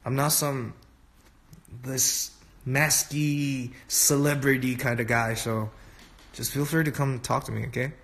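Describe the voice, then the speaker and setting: Young man on a phone live stream, relaxed comment-reading chat, dim room, easygoing mid-pitch voice. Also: a little slow, creaky. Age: young adult. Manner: relaxed, chatty, switching between English and Korean. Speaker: livestream host. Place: dim room indoors at night. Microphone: phone held close at arm's length.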